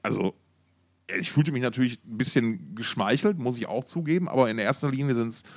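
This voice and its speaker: A man talks as a podcast co-host in a loose, conversational style. He rambles through his stories and drops in wry, mock-formal phrases. His pitch is ordinary for a man. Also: fairly quick. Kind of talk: casual podcast chat, anecdotes.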